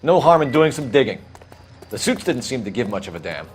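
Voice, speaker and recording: An actor playing an eager, diligent young patrol officer in a crime video game, with a clipped, confident, businesslike delivery. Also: male voice, medium pitch, very animated, a little fast, slight background noise, natural voice. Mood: keen, assured. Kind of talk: naturalistic video game crime drama acting.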